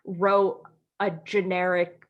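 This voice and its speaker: A woman's voice: fairly low pitch, level tone, takes it slowly, phone-quality sound. An American woman co-hosting a podcast in casual, friendly chat, informal and giggly, wandering off into asides. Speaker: podcast co-host.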